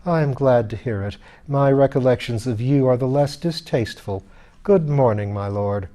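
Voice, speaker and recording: A man's voice: mid-range voice, normal speed, dark tone, close to an everyday voice. A man voicing a cold, sardonic skeleton lady in an audiobook, with a dry, cutting, formally courteous manner. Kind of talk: audiobook character voice.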